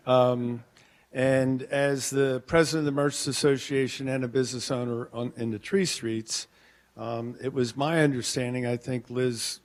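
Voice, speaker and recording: A man, a local merchants' leader, giving a halting, plainspoken public comment at a town council meeting from a podium mic. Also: medium pitch. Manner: plainspoken, halting, urges caution. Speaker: merchants association president speaking at public comment.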